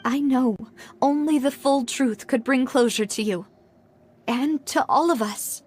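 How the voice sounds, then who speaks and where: A woman's voice: sits high, measured pace, a little mellow, breathy edge. A woman voicing an earnest, guilt-ridden young woman in a video game, speaking in a heartfelt, formal way. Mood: guilty, sorrowful.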